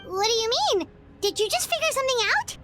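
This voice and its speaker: A woman voicing a tiny, childlike, excitable companion in a fantasy game. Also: quick pace, loud music behind the voice. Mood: curious. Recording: loud background rumble and noise.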